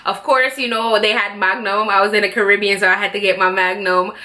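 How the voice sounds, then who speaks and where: A woman's voice: mid-range voice, flat delivery, a little fast, pushed and bright. A young Jamaican woman chatting casually to her vlog audience about a trip, rambling and correcting herself as she goes. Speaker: vlogger. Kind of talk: casual travel vlog chat.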